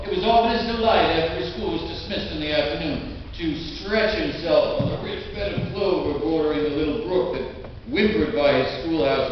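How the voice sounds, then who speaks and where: A man giving a theatrical live reading of a literary tale as a storyteller, expressive, with dramatic pauses and drawn-out phrases. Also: mid-range voice. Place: inside an old stone church. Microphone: distant mic in a large room. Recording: very echoey church, distant mic, dull, faint noise.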